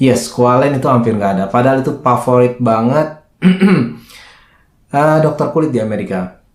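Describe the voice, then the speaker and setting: A man with a mid-pitched, slightly hoarse voice talks in a roomy, echoing space. He explains things informally as a video host, often posing a question to himself and answering it. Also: moderate pace. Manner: chatty, asks himself questions then answers them. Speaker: skincare educator and video host. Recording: roomy, echoing indoor sound.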